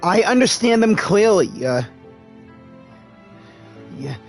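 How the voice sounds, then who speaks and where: A man streaming a game live and speaking replies to its characters in a halting, deliberate, earnest way. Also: high-pitched, big pitch swings, creaky, a little mellow. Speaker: gaming streamer and amateur voice-over artist. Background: game music.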